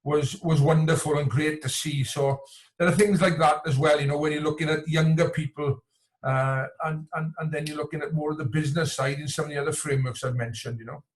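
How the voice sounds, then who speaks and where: A man's voice: on the high side, steady tone, fairly quick. A man from Wales speaking as a coach in a conversational interview, often circling back and repeating words with plenty of "you know". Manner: hesitant, repetitive, frequent "you know". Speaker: coach and entrepreneur, podcast guest.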